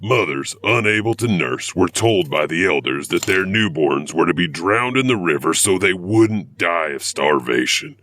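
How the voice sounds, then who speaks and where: A man's voice: deep voice, animated, moderate pace, few pauses, fairly bright tone, no room sound. A man playing a wryly morbid horror storyteller, narrating urban legends with theatrical, sardonic asides. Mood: ominous. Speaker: narrator and host of a horror anthology.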